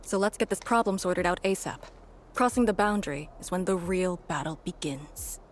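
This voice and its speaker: A woman playing a stoic, battle-worn female field leader in a video game, speaking gravely and in measured phrases. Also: fairly low pitch, animated, slight background noise, close to an everyday voice. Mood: businesslike.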